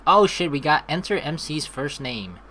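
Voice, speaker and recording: Bright-voiced man doing gaming commentary. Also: on the high side, animated, normal speed, slight background noise. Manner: joking, casually profane, rambling. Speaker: let's play host. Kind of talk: casual gaming commentary with read-aloud game text. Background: background music.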